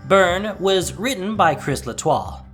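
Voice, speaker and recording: A narrator of medium register giving an expressive, dramatic scripted reading of fiction. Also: medium pitch, a little slow, faint music behind.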